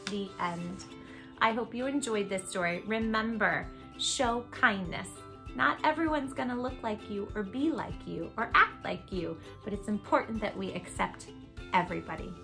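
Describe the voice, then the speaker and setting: A woman's voice: mid-range voice, lively intonation, normal speed, gravelly, music in the background. A woman hosting a children's read-aloud, narrating a storybook expressively and putting questions to her young listeners.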